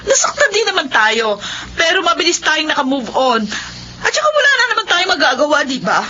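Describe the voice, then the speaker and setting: An actress playing a caring but blunt adult daughter in a Filipino radio drama, emotive and scolding. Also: female voice, high-pitched, big pitch swings, brisk, sharp tone, heavily acted. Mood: frustrated. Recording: dull, slightly noisy radio recording.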